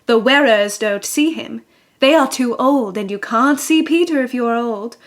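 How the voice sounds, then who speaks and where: A woman with a high voice narrates a children's tale. She reads scripted storybook prose as part of a radio play. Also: normal speed. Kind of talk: storybook narration in an audio drama.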